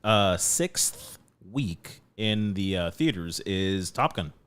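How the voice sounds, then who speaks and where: Lower-voiced male podcast host, halting delivery. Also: animated, fairly bright tone, clear, resonant tone. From Puerto Rican background. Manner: halting, repeats himself. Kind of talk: casual chat.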